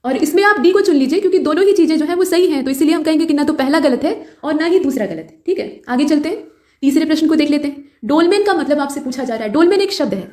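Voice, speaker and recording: A woman's voice: high voice, lively intonation, quick pace, warm tone. A woman teaching exam questions in an explanatory, instructional manner, mixing in English terms, with a roomy echo. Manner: instructional, explanatory, repeats points in English and Hindi. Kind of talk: quiz lesson with explanations.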